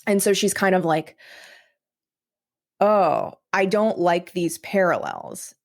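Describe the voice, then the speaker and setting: Woman, slightly low pitch, informal, tentative, conversational podcast guest. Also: big pitch swings, slow pace, vocal fry. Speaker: podcast guest, audio drama writer. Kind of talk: casual conversational interview.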